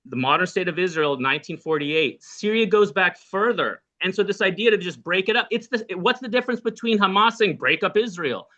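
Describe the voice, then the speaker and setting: Male host, higher pitch, bright forceful tone, argumentative. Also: dull audio. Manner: pushes back, argumentative. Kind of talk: political discussion.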